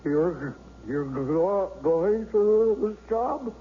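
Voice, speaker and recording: An actor playing a bitter, hostile young man who was left crippled, speaking in halting, stammering bursts. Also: sits high, animated, exaggerated voice. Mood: angry. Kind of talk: 1970s radio drama acting. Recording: dull old radio broadcast with hiss.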